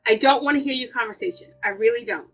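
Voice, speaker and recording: A woman's voice: on the high side, quick pace. A woman with an American accent chatting casually and emphatically as a vlog co-host, repeating her points for stress. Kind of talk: casual opinionated chat. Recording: dull lo-fi audio, music underneath.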